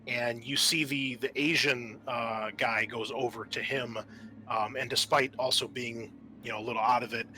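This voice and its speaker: A man narrating a tabletop roleplaying game as game master, improvising in a halting way. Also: mid-range voice, fairly even intonation, pushed and bright, slight background noise, rough recording. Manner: halting, searching for words.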